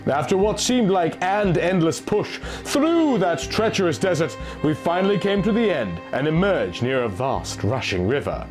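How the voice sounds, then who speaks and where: A man's voice: fairly high pitch, very animated, moderate pace, hardly any pauses. A man giving a theatrical, emphatic dramatic reading of a first-person fantasy story. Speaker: narrator, reader. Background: faint music.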